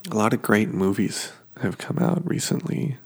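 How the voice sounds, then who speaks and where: Man, sleep podcast host, sniffly, low voice, husky, rambling and halting. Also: darkish tone. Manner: meandering, halting, many fillers. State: sniffly from allergies. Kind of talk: slow rambling description for sleep. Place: home kitchen.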